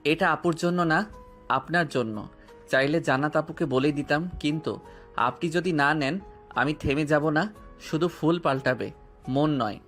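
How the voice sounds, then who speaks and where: A man's voice: a little high, unhurried, pauses often, a bit bright, faint music behind. A man playing a bold, teasing young student suitor. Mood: earnest. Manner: teasing, earnest, persistent. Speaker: voice actor. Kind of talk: audio drama acting.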